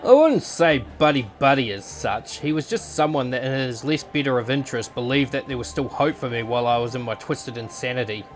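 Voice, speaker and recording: A man's voice: mid-range voice, animated, fairly quick, few pauses, slight background noise, natural voice. Man playing a cool, nonchalant young fighter. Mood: thoughtful, cool. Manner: laconic, nonchalant. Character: cool, self-assured young male tournament fighter. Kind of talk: dramatic visual novel acting.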